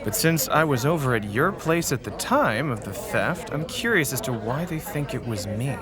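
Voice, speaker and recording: A man's voice: mid-range voice, animated, normal speed, natural voice. A man playing a shifty, defensive pony petty crook in an audio drama, with an evasive, pleading way of talking. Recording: music and crowd murmur behind.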